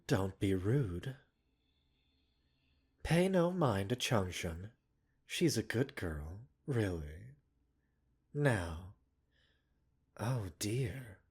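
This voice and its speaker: A man performs as a gentle, self-assured doctor tending a patient in an ASMR roleplay. The voice sits low and breathy, with lively rises and dips. He is polite and soothing, lightly scolding and teasing as he goes. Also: exaggerated voice. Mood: wry, gently chiding, then concerned, probing. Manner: soothing, unhurried, politely formal with teasing asides. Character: gentle, composed male pharmacist doctor, mildly chiding and self-assured. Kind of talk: ASMR roleplay audio drama.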